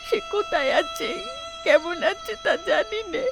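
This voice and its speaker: Very high-pitched stern grandmother voiced by a woman. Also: animated, unhurried, gravelly, music in the background, exaggerated voice. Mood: pleading, anguished. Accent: West Bengali. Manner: emphatic, insistent, repeats phrases. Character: stern, secretive elderly grandmother and mother-in-law. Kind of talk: dramatic cartoon voice acting.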